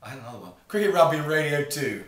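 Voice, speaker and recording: A man's voice: a little high, expressive, racing, a bit bright. A man in his late fifties giving plain, matter-of-fact answers as a quiz contestant. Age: 50s. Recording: echoey room, mic far off.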